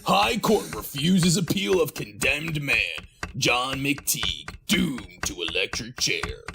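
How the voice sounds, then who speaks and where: Radio horror casting: gloating, half-mad doomed narrator, slow and gravelly, halting and theatrical, repeating himself. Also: male voice, medium pitch, animated, noisy background. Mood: horrified. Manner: halting, repetitive, theatrical delivery with self-questioning. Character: half-mad man narrating his doom, gloating and chuckling. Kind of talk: 1930s radio horror drama acting.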